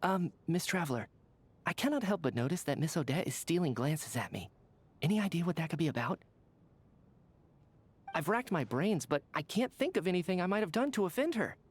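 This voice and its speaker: A man's voice: on the high side, sing-song, fairly quick. An actor voicing a chatty, easygoing and polite male official in a video game, talkative and theatrical. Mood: puzzled. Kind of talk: video game character dialogue.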